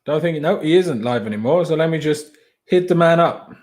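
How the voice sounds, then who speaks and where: Chatty man on a late live stream, slightly high voice. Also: animated, moderate pace, a little mellow. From Austria. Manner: chatty, loose, calls viewers bro. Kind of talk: casual live stream chat reading viewer comments.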